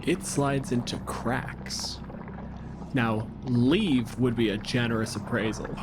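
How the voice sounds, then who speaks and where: A man's voice: mid-range voice, takes it slowly, noisy background. A man as game master, giving rulings and narrating action in short, dramatic statements.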